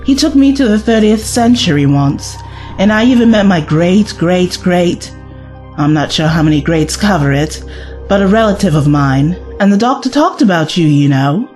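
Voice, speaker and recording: A woman's voice: on the low side, animated, moderate pace, darkish tone, lightly acted. A woman playing a kind, reassuring young time-travelling companion in an audio drama. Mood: confiding. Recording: music under the voice.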